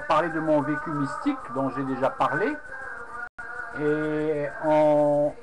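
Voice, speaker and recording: Hesitant man talking to his webcam. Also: on the high side. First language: Arabic. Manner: hesitant, many fillers and restarts. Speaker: religious speaker recounting a debate. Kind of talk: unscripted webcam monologue. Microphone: webcam microphone. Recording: noisy home webcam recording.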